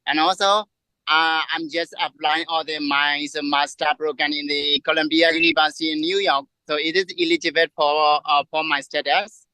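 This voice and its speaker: A man from Burma with a Burmese accent, speaking haltingly and clearing his throat as he asks a question in an online meeting. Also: a little high, flat delivery, sharp tone, no room sound, low-quality recording. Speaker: scholarship applicant asking a question. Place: virtual meeting on a video call.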